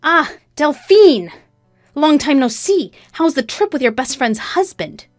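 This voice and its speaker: A woman's voice: high voice, big pitch swings, moderate pace, dry recording, faint music behind, pitched above the performer's own voice. A woman voicing a betrayed, defiant wife, scornful, emphatic and accusing, in a narrated story. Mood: mocking. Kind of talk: acted dialogue in a narrated story.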